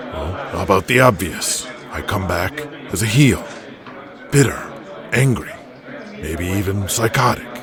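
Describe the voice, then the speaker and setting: A man plays an intense pro wrestler in an audio drama, pressing his case with earnest insistence. His voice swings up from a low base, with a crowd behind it.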